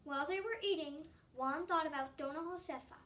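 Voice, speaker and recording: Little kid reading a storybook out loud, high voice, kinda far from the mic, really stresses some words. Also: a little fast. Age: child, about 8. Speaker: young reader of a story. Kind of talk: storybook read aloud. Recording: distant from the microphone.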